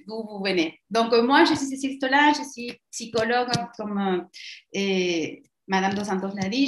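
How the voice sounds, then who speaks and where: Argentine woman presenting in a roomy echo. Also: medium pitch. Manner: halting, searching for words. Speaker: psychologist presenting a training. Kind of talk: webinar presentation. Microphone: video call.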